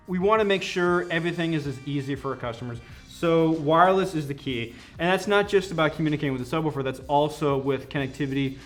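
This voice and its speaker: A man working as a company product representative, explaining a product enthusiastically in a conversational interview. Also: fairly high pitch. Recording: music playing behind the voice.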